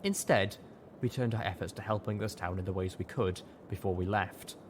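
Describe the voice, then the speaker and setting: A man with a fairly low voice reading a fan-fiction audiobook in first person, steady and dramatic. A faint hiss of noise sits under the reading. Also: normal speed. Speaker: audiobook reader.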